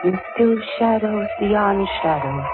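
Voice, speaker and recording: A woman's voice: medium pitch, lively intonation, slow pace, over loud music. An actress playing an intense, haunted young woman in an old-time radio drama, speaking with theatrical suspense. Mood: eerie suspense. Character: intense, haunted young woman seeking her dead father's truth. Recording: tinny, narrow-band old radio recording.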